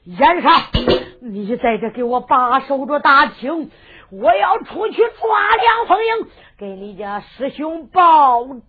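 A woman's voice: high-pitched, big pitch swings, takes it slowly, exaggerated voice. A woman voicing a grieving, vengeful old male kung fu master. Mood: resolute. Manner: sung-spoken storytelling delivery. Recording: tinny phone-like sound with loud music.

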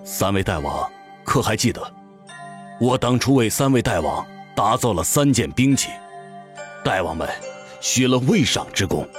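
A humble tiger-headed father, acted by a man for a fantasy audio drama. His low, subdued voice pleads earnestly and respectfully, in halting, careful phrases over faint music. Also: big pitch swings. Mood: humble pleading.

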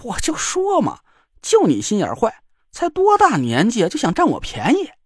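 A man's voice: very high voice, wildly swooping pitch, normal speed, husky, no echo, clean studio sound, voice pushed up, heavily acted. A man voicing a cocky, teasing middle-aged man with a bantering, mock-boastful drawl. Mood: indignant.

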